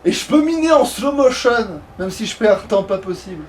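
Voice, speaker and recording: High-pitched man chatting playfully while gaming. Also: lively intonation, brisk, slight background noise. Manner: playful, slangy, swearing. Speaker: let's play streamer. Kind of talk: casual gameplay chat.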